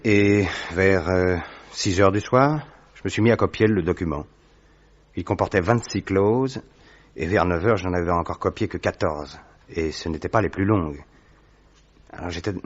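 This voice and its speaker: Man doing a deep-voiced nervous clerk, hesitant storyteller, dull muffled sound. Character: worried young male government clerk, Phelps. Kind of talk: radio drama acting. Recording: dull muffled audio recording.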